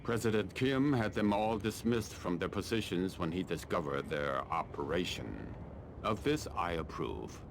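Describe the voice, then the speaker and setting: A stern, calculating army colonel from China for a video game. His voice is extremely deep with a gravelly creak. He speaks formally and deliberately, with haughty authority, over clear rumbling noise. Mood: measured, imperious. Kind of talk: scripted video game dialogue.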